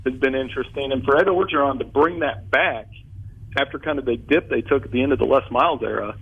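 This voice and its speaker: A man's voice: mid-range voice, quick pace. A man in his forties from Southeast Ohio, a sportswriter guest on a radio show, talking animatedly with frequent restarts over the phone. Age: 40s. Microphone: phone call. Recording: phone line, husky.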